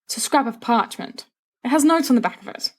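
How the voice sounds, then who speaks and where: A woman's voice: on the high side, lively intonation, fairly quick, pitched above the performer's own voice. A woman voicing a sensible teenage schoolboy in a story, dry, weary and reproving.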